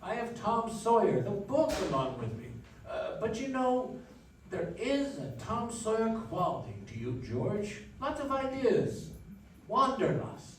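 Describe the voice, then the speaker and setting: A man's voice: high voice, very animated, measured pace, slight background noise. A man playing a kindly, innocent old guardian angel in a live radio play, mild, hesitant and rambling. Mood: gentle, earnest. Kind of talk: 1940s radio play acting. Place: live stage performance in a dance hall. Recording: echoey hall, distant mic.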